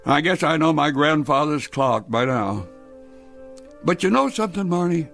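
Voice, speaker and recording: A man playing a gentle, stubborn old great-grandfather in a radio drama, with a folksy, halting, stammering delivery. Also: fairly high pitch, expressive, vocal fry, soft background music. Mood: wondering, elated. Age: older.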